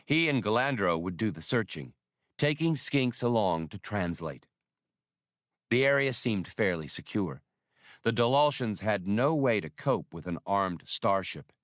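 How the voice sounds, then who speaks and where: Deep-voiced man narrating an adventure audiobook. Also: expressive, unhurried, dry recording, clean studio sound. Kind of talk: scripted narration of a science fiction adventure novel.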